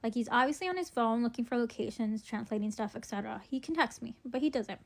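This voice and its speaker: A woman's voice: on the high side, fast, creaky. A young woman chatting casually through a relationship storytime while doing her makeup, rambling with lots of ums and likes and a wry edge.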